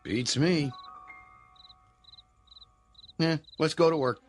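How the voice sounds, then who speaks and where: An actor playing a gruff, easygoing aircraft ground crew boss in a radio drama, folksy and matter-of-fact. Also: male voice, on the high side, drawn-out words. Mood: puzzled. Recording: music under the dialogue.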